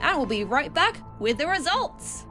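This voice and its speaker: A high-voiced, theatrical host of a spooky animated contest, acted by a woman. The delivery is showy and mocking, stretching out announcements, with faint music underneath. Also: moderate pace. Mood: gloating. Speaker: game show host. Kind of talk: scripted animated show announcing.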